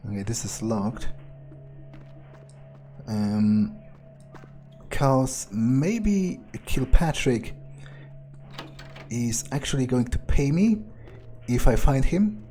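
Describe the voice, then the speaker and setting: Man, slow pace, mellow voice, hesitant in-character game playthrough, faint music behind. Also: medium pitch, sing-song. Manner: hesitant, thinking aloud. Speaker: gamer playing in character.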